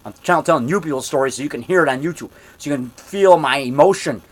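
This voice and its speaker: A man in his fifties telling a rambling story in a loud voice, repeating himself and getting worked up as he goes. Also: a little high, fast talker. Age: 50s. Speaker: monster movie maker telling a story. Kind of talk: casual storytelling monologue.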